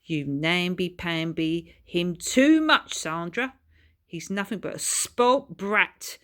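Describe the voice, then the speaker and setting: A woman's voice: on the low side, takes it slowly, a bit bright. A woman voicing a hot-tempered working-class father with a blunt, growling, colloquial manner. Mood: angry.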